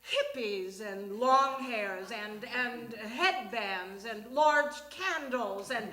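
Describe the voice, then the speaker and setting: High, bright-voiced woman performing a monologue. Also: drawn-out words. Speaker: reader at a live storytelling show. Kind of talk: performing a comic personal monologue. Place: small theatre stage. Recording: echoey live stage recording.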